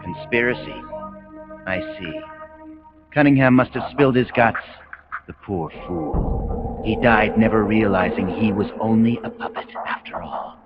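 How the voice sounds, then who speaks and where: An actor with an American accent playing a calm, messianic military commander villain in a video game, speaking in solemn, grandiose, deliberate speeches. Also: male voice, on the low side, lightly acted. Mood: cold, dismissive. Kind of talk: dramatic video game cutscene monologue. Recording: muffled game audio under loud music.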